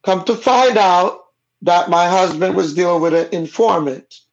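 A woman's voice: lowish voice, measured pace. A woman with a Jamaican accent, whose first language is Jamaican Patois, frankly telling her own story as a guest in an interview. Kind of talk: personal storytelling in a casual interview. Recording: dull lo-fi audio.

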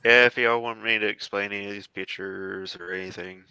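An American man casually narrating a slideshow of his photos in a halting, unscripted way. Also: fairly low pitch, fairly even intonation, gravelly, bright, forceful tone, clean tone, no echo, rough recording. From Virginia and North Carolina, United States. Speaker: guest presenting his photos.

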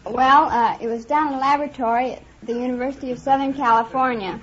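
High-voiced woman on muffled old radio. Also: steady tone, fast talker, fairly bright tone. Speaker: quiz show contestant. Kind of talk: conversational interview answers. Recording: muffled old radio broadcast, audience and noise.

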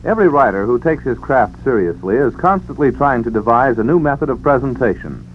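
A man's voice: medium pitch, lively intonation, fairly quick, background noise. A man working as a radio announcer, reading a scripted promo in a formal, polished 1940s style. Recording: tinny old radio recording with music.

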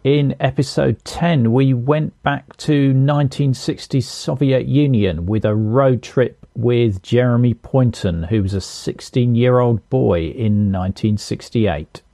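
A man's voice: medium pitch, a little slow, vocal fry, hardly any pauses, dark tone, no room sound, studio quality. A man hosting a history podcast, steadily linking clips with plain narration and interview questions. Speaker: podcast host.

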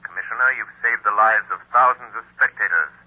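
An actor playing a grave, resolute crime fighter in a 1930s radio drama, speaking with husky, commanding authority. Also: male voice, on the low side, fast talker. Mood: stern, pressing. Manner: authoritative, pressing, declamatory. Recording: dull vintage 1930s radio recording.

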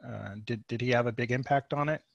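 A man hosts a podcast interview in an easy, friendly way, asking questions in a casual tone. His voice sits at a medium pitch, and the sound is close and dry. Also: brisk, gravelly, dry recording, lo-fi sound. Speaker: podcast host.

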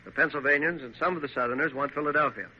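An actor playing an earnest, shrewd political adviser who is deferential and formal, in an old-time radio drama. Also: male voice, fairly high pitch, racing, slight background noise, lightly acted. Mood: earnest, scheming. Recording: dull vintage radio recording.

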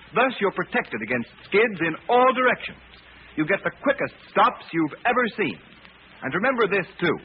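A man's voice: sits high, slight background noise. A male announcer reading a script emphatically in 1930s radio style, on a tinny old recording. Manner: emphatic, declamatory.

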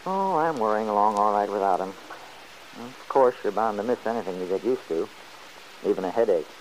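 A man's voice: lowish voice, animated, fairly quick, natural voice, poor audio. An actor playing a stubborn, good-hearted, folksy man who grumbles plainly in an old-time radio serial. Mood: grumbling. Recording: hissy old radio recording.

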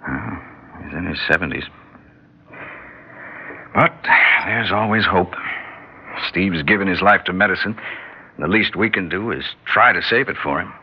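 An actor playing an earnest, grave chief hospital doctor in an old radio drama. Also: male voice, deep voice, measured pace, long pauses, husky, exaggerated voice. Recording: muffled, faintly noisy old radio recording.

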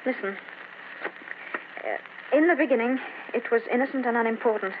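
An actress playing a brooding, jealous wife in an old-time radio drama, tense and halting, with bitter melodrama. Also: female voice, on the high side, natural voice. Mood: cold accusation. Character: brooding, suspicious, jealous wife haunted by obsession. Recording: noisy, narrow-band old radio recording.

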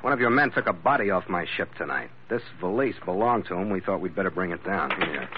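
A man's voice: low voice, lively intonation, fast talker, a little hiss or hum. An actor playing a tough, wry sea captain who narrates his own radio adventure in a clipped, sardonic, hard-boiled manner. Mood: brisk, businesslike. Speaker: lead actor and first-person narrator. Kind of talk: 1940s-50s radio adventure narration and acting. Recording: dull old radio recording.

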